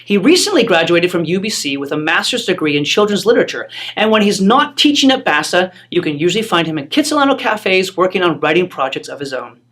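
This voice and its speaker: Measured newsletter presenter voice. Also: medium pitch, big pitch swings, a bit bright. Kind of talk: scripted announcement narration.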